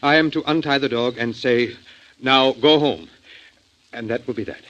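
A man's voice: mid-range voice, moderate pace, fairly bright tone, close to an everyday voice. An actor playing a worried, well-spoken father, speaking formally and carefully in 1940s radio drama style. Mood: worried, measured. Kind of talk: 1940s American radio acting. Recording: dull, muffled vintage radio recording.

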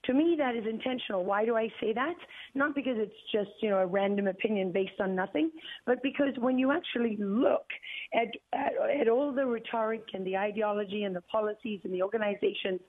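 A middle-aged woman from South Africa, a journalist speaking as a guest in an interview, talking in a deliberate, emphatic way with many fillers and repeated words. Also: mid-range voice, no room sound. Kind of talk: phone-in interview. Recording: tinny dry phone line.

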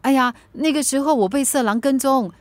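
A young Singaporean woman played by a voice actress talks in a very high voice, recorded close and dry. She is eager, helpful and polite, fussing kindly as in a radio drama. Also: a little fast, no echo, close to an everyday voice. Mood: earnest, explaining.

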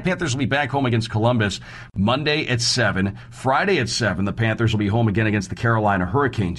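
A man hosting a sports radio show, previewing games and reading promos in a conversational, folksy way. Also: lowish voice.

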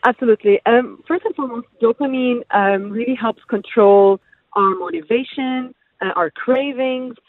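A woman's voice: fairly high pitch, normal speed. A woman dietitian from the UAE, a guest on a radio show, explaining fluently with many filler sounds. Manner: fluent and informative, many ums. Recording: tinny phone line.

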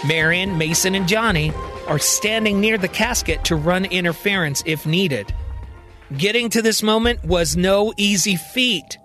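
Male narrator for scripted fiction podcast, high register, dramatic storytelling, light music bed.

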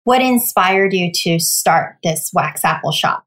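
A woman's voice: on the low side, expressive, unhurried, fairly bright tone, roomy sound. A woman hosting a podcast, presenting and interviewing in a casual, conversational style. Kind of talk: scripted intro and casual interview.

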